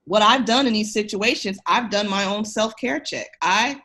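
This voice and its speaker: A woman's voice: mid-range voice, moderate pace, pushed and bright. Emphatic, encouraging woman in conversation. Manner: emphatic, encouraging, speaks directly to the listener. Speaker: podcast guest, education researcher. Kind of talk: conversational podcast interview.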